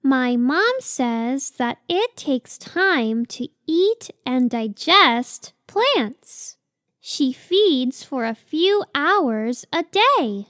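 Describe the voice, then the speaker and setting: A woman's voice: sits high, very animated, drawn-out words, fairly bright tone, no echo, studio quality, heavily acted. A woman voicing a cute, earnest young female sloth baby in a children's picture book read-aloud, with simple, childlike phrasing. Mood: dreamy, earnest.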